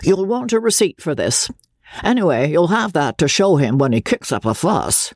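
A man's voice: on the high side, very animated, normal speed, no room sound, clean studio sound, voice pushed up. A man reading a novel aloud voices a stout, world-hardened middle-aged woman who speaks in a brusque, matter-of-fact way.

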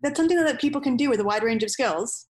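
A woman hosting an interview, asking clear, measured questions. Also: on the high side, lively intonation. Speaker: interview host.

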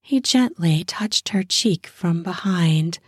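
A woman narrating a scripted erotic story in an intimate, deliberate manner with drawn-out phrasing. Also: fairly low pitch, expressive, slightly rough, dry recording, studio quality.

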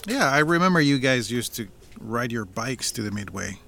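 A man's voice: medium pitch, very animated, moderate pace, gravelly, bright, forceful tone, exaggerated voice. A man playing an easygoing older farmer and family patriarch in a naturalistic audio drama. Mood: reminiscing.

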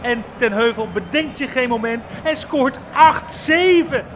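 A man's voice: extremely high pitch, measured pace, a bit bright, a little hiss or hum. A man working as a football reporter, giving live match commentary for radio.

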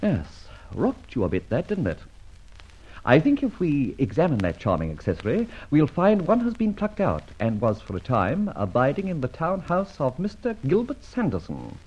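Suave gentleman thief, wildly swooping delivery. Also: on the high side, wildly swooping pitch, normal speed, husky, full character voice. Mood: knowing, accusing. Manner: theatrical, wordy, mock-pompous banter. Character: suave teasing gentleman thief, urbane male adventurer. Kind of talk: vintage radio drama acting. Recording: dull, muffled old radio recording.

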